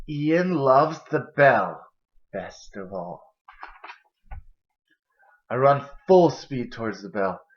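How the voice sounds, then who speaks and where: A young man reads a children's picture book aloud, narrating at a medium pitch. The recording is dull and lo-fi, picked up indoors by a camera a short way off. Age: young adult. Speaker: storybook reader. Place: indoor kitchen and living room.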